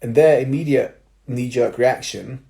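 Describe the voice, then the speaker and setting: A young man, a personal trainer, giving casual advice to viewers with a slightly nasal, stuffy-nosed voice, explaining things step by step with filler words and quick "right?" checks. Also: mid-range voice, gravelly, warm tone. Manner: explanatory, with tag questions and fillers. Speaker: personal trainer and jiu-jitsu coach.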